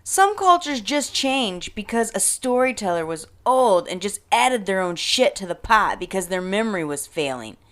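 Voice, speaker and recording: Woman putting on a loud, dismissive online ranter. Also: medium pitch, big pitch swings. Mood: dismissive, mocking. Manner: emphatic, drawn-out, mocking delivery. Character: dismissive, ranting woman social media commenter. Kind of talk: acted table read of internet drama.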